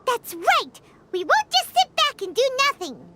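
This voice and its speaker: A woman voicing a tiny, excitable, childlike fairy companion in a video game, with a squeaky, naive delivery. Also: a little slow, a little hiss or hum, full character voice. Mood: indignant. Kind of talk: scripted video game dialogue.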